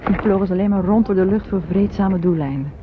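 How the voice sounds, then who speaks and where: Hesitant, breathy young woman played by an actress. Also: mid-range voice, fast talker, natural voice. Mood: wistful. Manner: hesitant, soft, often breathy and half-whispered. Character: earnest, devoted young woman travelling with an older man. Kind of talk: old Dutch radio drama acting. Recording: muffled old radio recording, faint noise.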